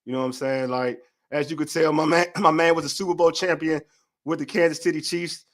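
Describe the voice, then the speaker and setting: A man's voice: fairly high pitch, steady tone, fairly quick. A man, hosting a casual sports podcast over a video call and talking in loose, slangy repeats. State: hoarse from a cold. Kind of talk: casual sports talk show.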